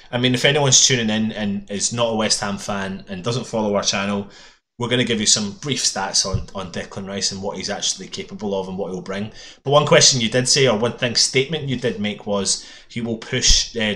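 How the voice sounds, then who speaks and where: A man's voice: fairly low pitch, fairly bright tone, slight background noise. A Scottish man hosting a casual football podcast, chatty and summarising a statement aloud. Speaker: podcast host.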